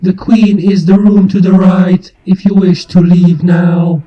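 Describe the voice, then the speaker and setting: A man's voice: sits high, flat delivery, measured pace. A man voicing a cold hive analyzer creature in a story reading, formal, clinical and declarative. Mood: clinical, stern. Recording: dull muffled sound, music behind.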